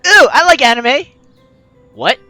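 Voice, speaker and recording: A woman's voice: sits high, sing-song, unhurried, pushed and bright, faint music behind. A young woman reading a visual novel aloud as a gaming host, with theatrical, teasing asides. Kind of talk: animated let's-play read-aloud and commentary.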